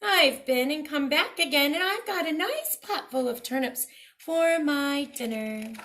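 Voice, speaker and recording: A woman voicing a small, plucky, polite young storybook pig with a squeaky, pleading and defiant manner. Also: animated, a little slow, a bit bright, a little hiss or hum. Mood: cheerful. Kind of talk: storybook read-aloud.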